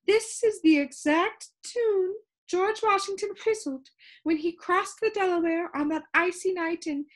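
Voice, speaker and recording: A woman's voice: very high voice, pitched above the performer's own voice, rough recording. A woman voicing a dotty, eager, talkative female keeper of a fortress of sounds in a storybook. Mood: chirpy, proud. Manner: chirpy, talkative, brisk and hospitable. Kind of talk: storybook character voice.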